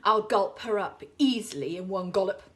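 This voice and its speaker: Greedy storybook crocodile, male, scheming, slow gloating delivery, bright voice, woman putting him on. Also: medium pitch, natural voice.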